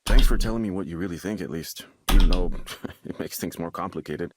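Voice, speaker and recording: An actor playing a troubled young man desperate to stay normal, agitated and halting as he repeats himself in a video game. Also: low voice, heavy background noise, lightly acted. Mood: shaken, grateful.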